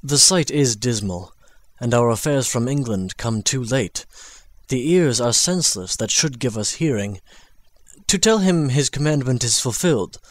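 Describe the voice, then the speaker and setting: A man's voice: medium pitch, expressive, moderate pace, no echo, close to an everyday voice. A man playing a dismayed foreign ambassador in a classical tragedy, formal and declamatory. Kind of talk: classical stage tragedy, dramatic audiobook reading.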